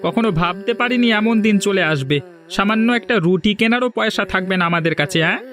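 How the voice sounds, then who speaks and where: An actor playing an anxious, downhearted poor village younger brother in a cartoon, speaking in a plaintive way. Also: male voice, high-pitched, fast, a bit bright. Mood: worried, downhearted. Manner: plaintive, worried. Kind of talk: cartoon moral story voice acting.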